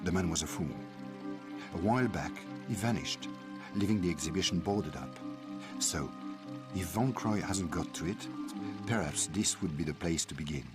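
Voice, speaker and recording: An actor playing an earnest, worried French archaeologist ally in a video game, with a French accent and a grave, deliberate delivery. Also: male voice, deep voice, lightly acted. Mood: quiet, cautioning. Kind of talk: video game cutscene acting. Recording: music behind dialogue.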